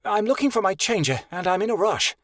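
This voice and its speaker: A man voicing a desperate young woman who pleads in a hurried, tearful way. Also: sits high, lively intonation, fairly bright tone, dry recording, studio quality, voice pushed up.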